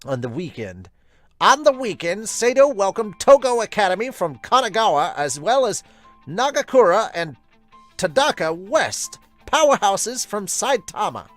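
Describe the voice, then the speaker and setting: A man's voice: high-pitched, big pitch swings, measured pace, soft background music, voice pushed up. A man voicing a formal sports announcer who reads out game statistics in clipped, emphatic lists. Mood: emphatic, announcing. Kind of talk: announcer-style scripted recitation.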